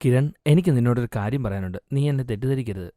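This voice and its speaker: A man's voice: mid-range voice, fast talker, warm tone, no echo, clean studio sound, close to an everyday voice. A man playing a kind young street food seller in a cartoon moral story, speaking in an earnest, reassuring way. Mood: earnest, tender.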